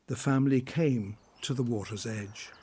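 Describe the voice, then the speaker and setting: Mellow, husky male story narrator. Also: mid-range voice, normal speed.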